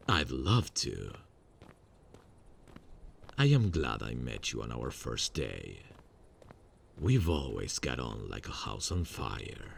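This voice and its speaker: A man voicing a soft-spoken male serial killer in an ASMR audio drama, calm and quietly menacing, often close to a whisper. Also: slight background noise, exaggerated voice. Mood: friendly. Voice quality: gravelly, husky low voice. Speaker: voice actor.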